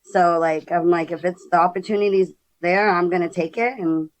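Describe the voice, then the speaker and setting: A woman with a naturally deep, raspy voice sitting lower than most. She talks openly and loosely, like a guest settling into a casual podcast chat. Her laughter breaks in easily. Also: moderate pace. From San Diego, California. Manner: candid, laughs often.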